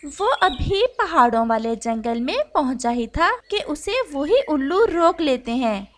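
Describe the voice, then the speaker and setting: A woman reads a scripted children's story as narrator. Her voice is high and animated, rising and falling widely through each sentence. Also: a little slow, a little hiss or hum.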